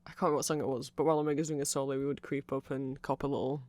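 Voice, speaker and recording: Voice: mid-range voice, level tone, racing, a little mellow, no echo. A person giving a chatty, rambling ASMR-style fan explainer in a playful, informal way. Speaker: ASMR creator explaining band lore.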